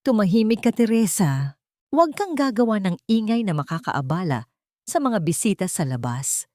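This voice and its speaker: A woman's voice: medium pitch, big pitch swings, moderate pace, darkish tone, no echo, studio quality, lightly acted. A woman voicing a cruel, commanding old rich mother-in-law in a dramatic story. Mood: harsh, commanding. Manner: commanding, harsh, stern.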